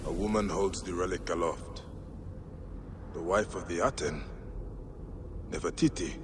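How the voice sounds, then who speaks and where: Deep, grave Egyptian warrior, noisy backdrop. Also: male voice, a little slow. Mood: solemn, musing. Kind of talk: video game cutscene acting.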